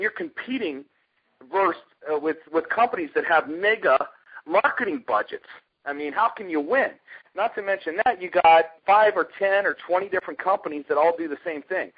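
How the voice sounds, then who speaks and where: Middle-aged american guy pitching on a dull muffled conference line, husky voice, punchy storytelling. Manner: emphatic storyteller, uses rhetorical questions. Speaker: network marketer pitching a company. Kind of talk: informal sales pitch on a conference call. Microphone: telephone conference call.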